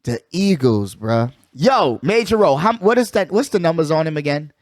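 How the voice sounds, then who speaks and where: Higher-pitched man hosting sports talk. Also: very animated, moderate pace, no echo. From United States. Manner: slangy, emphatic, repeats catchphrases. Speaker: sports talk show host. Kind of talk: casual hyped sports chat.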